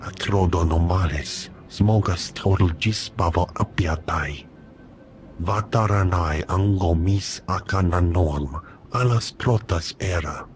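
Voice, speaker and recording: A man voicing a deliberate male alien underworld dealer in a video game cutscene. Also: low voice. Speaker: alien contact.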